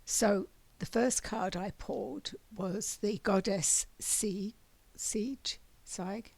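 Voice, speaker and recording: A raspy-voiced woman giving a spiritual monthly oracle card reading, meandering and often clearing her throat. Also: medium pitch, extremely slow, a little mellow.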